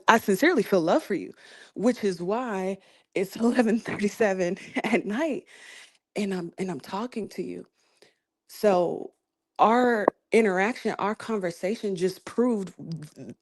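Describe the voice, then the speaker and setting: A woman hosting a podcast who calmly reassures a caller and gives advice, speaking softly with frequent pauses and fillers. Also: medium pitch. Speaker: podcast host and relationship coach. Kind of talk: calm advice-giving talk.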